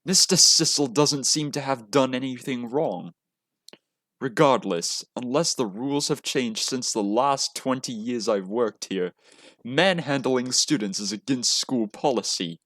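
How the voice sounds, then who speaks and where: Man as a slow-spoken, commanding old lady teacher. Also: mid-range voice, fairly bright tone, no room sound, clean studio sound. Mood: stern, unfazed. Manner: composed, stern, formal. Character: fragile but commanding elderly lady teacher.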